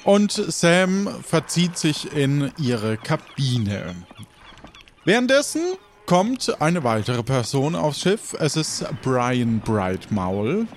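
A man's voice: on the high side, big pitch swings, slow, slight background noise. A man hosting and narrating an improvised audio adventure as game master, in a playful, dramatic way.

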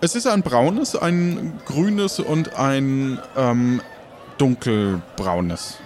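A man narrating an improvised adventure game as game master, halting and searching for his words. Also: medium pitch, expressive, a little mellow, some chatter behind, slight background noise.

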